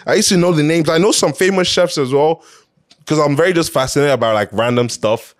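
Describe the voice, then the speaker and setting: A man's voice: fairly high pitch, brisk. A man hosting an opinion show, talking casually and emphatically in a conversational way, repeating phrases to drive his points home.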